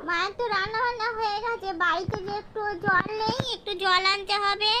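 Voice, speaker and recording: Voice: very high voice, fairly even intonation, brisk, pushed and bright, heavily acted. A performer voicing a curious, stubborn young village boy in a cartoon, asking insistent questions over a noisy recording. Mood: questioning. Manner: inquisitive, insistent. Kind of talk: cartoon horror story dialogue.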